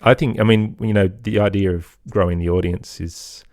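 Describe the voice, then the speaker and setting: Low, gravelly middle-aged man in conversation. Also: fairly even intonation, moderate pace, darkish tone, no echo, clean studio sound. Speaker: interview guest, podcaster and musician. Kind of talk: conversational interview answers.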